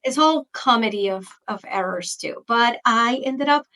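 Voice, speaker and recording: A woman in her forties from Venezuela with a Venezuelan Spanish accent, a Spanish speaker, chatting as a guest in an interview, animated, with quick restarts. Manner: animated, quick restarts, jumps into high-pitched quoted asides. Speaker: guest, marketing expert. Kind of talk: casual interview chat.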